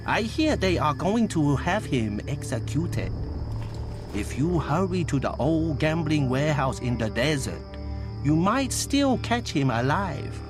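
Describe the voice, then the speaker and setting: An actor playing a sly, cagey underworld informant in a video game, talking coyly and deliberately. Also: male voice, fairly high pitch, sing-song, over music. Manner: coy, deliberate.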